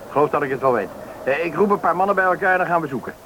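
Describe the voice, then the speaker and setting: Decisive villager for radio drama, fast delivery, slightly high voice, faint noise. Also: male voice, animated, a bit bright, low-quality recording. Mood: alert, decisive. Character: brisk village man organising a search party. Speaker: actor in a radio play. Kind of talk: Dutch radio drama acting.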